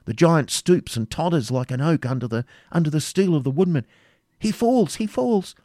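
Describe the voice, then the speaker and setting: Man voicing an urgent, high-pitched anguished young woman. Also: normal speed, dark tone, no room sound, clean studio sound, natural voice. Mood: frantic. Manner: breathless exclamations, urgent repetition. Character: anguished young woman watching battle, fervent and pious. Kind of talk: dramatic audiobook character reading.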